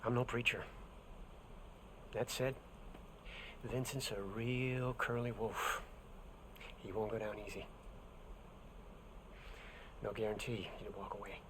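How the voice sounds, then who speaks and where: An actor playing a roguish, teasing adventurer in a video game, with wry delivery and folksy old-fashioned slang. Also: male voice, on the low side, flat delivery, slow, pauses often, noisy background. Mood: wry, purposeful. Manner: wry, playful. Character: roguish, teasing adventurer man with frontier swagger. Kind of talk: video game cutscene acting.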